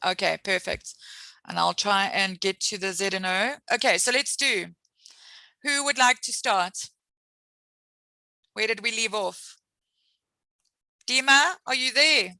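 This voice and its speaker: A woman's voice: medium pitch, takes it slowly, long pauses, sharp tone, no room sound. A woman teaching an English lesson over a video call, patiently explaining words with examples and encouraging her students.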